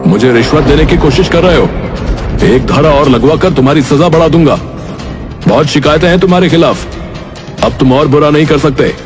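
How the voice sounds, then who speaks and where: A man's voice: a little high, a little fast, music in the background, lightly acted. A man playing a stern, authoritative village police inspector in a cartoon, with a firm, commanding manner. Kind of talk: cartoon voice acting.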